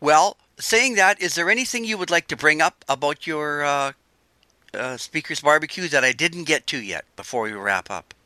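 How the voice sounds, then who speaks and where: An older man hosting a radio show, chatting in a relaxed, rambling way. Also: a little high, normal speed, no room sound. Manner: relaxed, chatty, wandering sentences. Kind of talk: casual interview chat.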